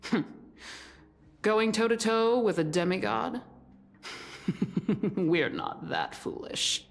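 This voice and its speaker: A woman's voice: medium pitch, takes it slowly, gravelly. A woman playing a menacing, sneering conspirator in a fantasy game, with a drawling, mocking, theatrical delivery. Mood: smug taunting. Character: sneering, menacing female conspirator taunting a demigod. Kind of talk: dramatic fantasy game voice acting.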